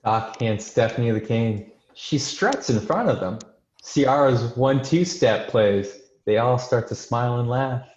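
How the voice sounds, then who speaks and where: A man's voice: mid-range voice, unhurried, a little mellow. A man narrating screenplay stage directions in scripted narration for a table read. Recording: echoey room, dull video call sound.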